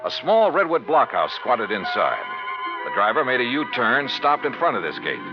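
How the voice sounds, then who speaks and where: Wry American insurance investigator, 1950s radio drama, lively swinging intonation, dull vintage recording with music. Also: male voice, medium pitch, moderate pace. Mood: wry narration. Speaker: lead actor and narrator. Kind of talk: 1950s radio detective drama, first-person narration and dialogue.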